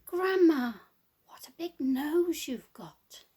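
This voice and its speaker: Lady doing a little girl in a fairy tale, high and wondering, says it all slow. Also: animated.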